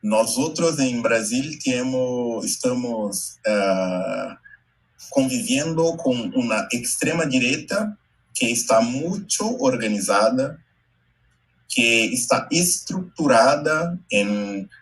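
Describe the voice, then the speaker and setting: A Brazilian man whose first language is Portuguese, speaking slowly and haltingly in Spanish mixed with Portuguese, giving a talk over a video call. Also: medium pitch, expressive, rough recording. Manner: slow, careful, halting Portuguese-Spanish mix with many pauses. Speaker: invited speaker, educator.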